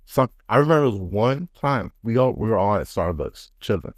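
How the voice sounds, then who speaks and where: A man hosting a casual podcast, telling stories in an animated, slangy way. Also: on the low side, brisk, no room sound, studio quality. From Dominican background. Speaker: podcast host. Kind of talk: casual chat, storytelling.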